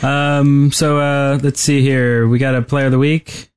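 A man's voice: mid-range voice, little pitch movement, unhurried, smooth. A man co-hosting a podcast in a casual, rambling, hesitant and giggly way. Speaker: podcast co-host. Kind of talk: casual chat. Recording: music behind the voice. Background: music.

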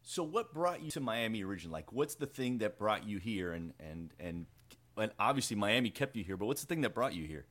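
A man's voice: lowish voice, very animated, brisk, a bit bright. A man hosting a casual interview, asking playful questions with many restarts. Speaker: interview host.

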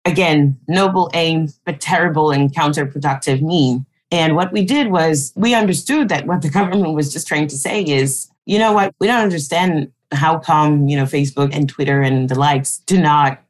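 A woman from Cameroon, explaining her advocacy work on a panel in a measured way, correcting herself now and then. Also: low-pitched. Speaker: digital rights advocate. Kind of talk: panel discussion answer.

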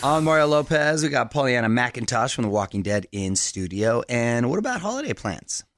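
A man hosting a radio show in a studio, upbeat and quick with promo patter, laughing often with his guest. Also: mid-range voice, big pitch swings, a bit bright, no room sound. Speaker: radio host. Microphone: studio microphone.

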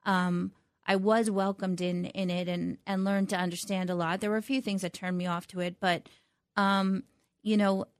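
A middle-aged woman speaking as an interview guest, reflective and halting, often restarting her sentences. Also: mid-range voice, flat delivery, clear, resonant tone, no room sound, studio quality. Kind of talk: conversational interview.

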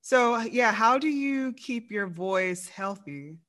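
A woman's voice: fairly high pitch, expressive, a bit bright. A woman hosting a casual interview, bubbly and slangy, drawing her words out. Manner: bubbly, slow and drawn out, slangy. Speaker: interview host.

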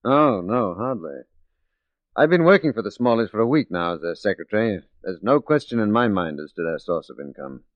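Low-voiced resolute 1940s radio detective played by an actor. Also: male voice, animated, brisk, dry recording, natural voice. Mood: resolute, grave. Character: resolute, righteous male detective working undercover as a secretary. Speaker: detective lead in a radio drama. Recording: muffled 1940s radio recording.

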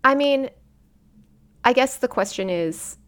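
A woman's voice: sits high, expressive, slow, fairly bright tone. A woman hosting an interview, playful and enthusiastic, teasing her guest. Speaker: interviewer, host. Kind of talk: casual interview chat.

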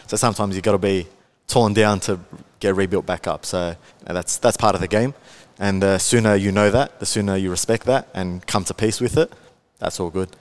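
A man in his twenties with an Australian accent and a fairly low voice. He talks as a guest on a podcast, relaxed and chatty, with plenty of fillers and easy laughs. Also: a little fast. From Melbourne, Australia. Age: 20s. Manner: chatty, laid-back, many fillers, laughs often. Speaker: guest, content creator. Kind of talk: casual podcast interview.

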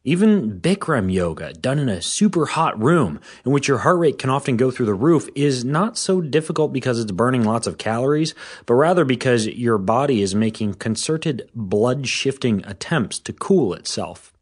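Guy with a slightly hoarse voice doing a fitness podcast, casual explainer tone. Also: mid-range voice, expressive, normal speed. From Washington State. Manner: informal, explanatory tone. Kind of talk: scripted podcast narration.

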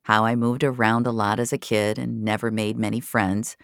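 A woman's voice: extremely low pitch, level tone, moderate pace, vocal fry, clean tone, no room sound, clean studio sound. A woman narrating scripted fiction in the first person, in a confessional, intimate storytelling manner. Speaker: narrator of an audio fiction series.

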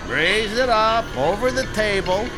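A man's voice: high voice, heavy background noise. An actor playing a smug, ghoulishly casual henchman in an audio thriller. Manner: ghoulishly casual, taunting, drawn-out phrasing. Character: gloating, matter-of-fact male henchman, captive but smug. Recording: music behind the voice.

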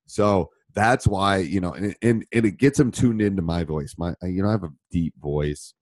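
A middle-aged man coaching from experience, his very low voice thickened by a cold. He rambles through listener questions with plenty of fillers and restarts. The sound is dry and close, with no room around it. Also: normal speed, darkish tone, no echo. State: voice deeper than normal from a cold. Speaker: basketball coach and podcast host. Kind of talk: live Q and A, casual answers to listener questions.

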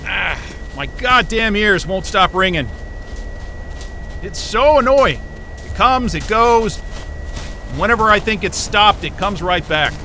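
An actor playing a weary, disgruntled scientist who mutters sardonic, profane asides in a naturalistic audio drama, with noise around him. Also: male voice, high voice, lively intonation, slow, pauses often, pushed and bright, exaggerated voice. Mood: irritated. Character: weary, disgruntled male astrophysicist talking to his dog.

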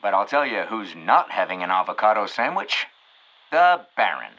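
An actor playing a showy, arch radio-hour host, narrating theatrically. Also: male voice, on the low side, big pitch swings, normal speed, sharp tone, lo-fi sound, exaggerated voice.

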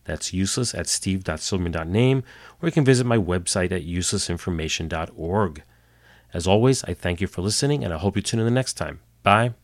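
Low-voiced man, slightly nasal. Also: expressive, fairly quick, no echo. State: getting over a cold. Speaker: podcast host. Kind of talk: storytelling history podcast. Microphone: home computer setup.

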